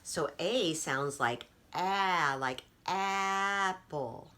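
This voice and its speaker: A woman storyteller and teacher reading aloud and explaining for children, with deliberate, emphatic phrasing. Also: fairly low pitch, pushed and bright. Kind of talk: children's read-aloud and simple lesson.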